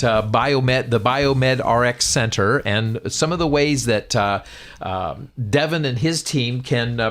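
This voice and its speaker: A man's voice: medium pitch, a bit bright. A man moderating a radio talk show in a conversational, somewhat hesitant way.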